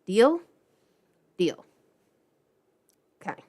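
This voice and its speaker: A woman's voice: drawn-out words, a little mellow. A woman hosting a livestream, chatting casually and playfully with informal asides. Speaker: livestream host.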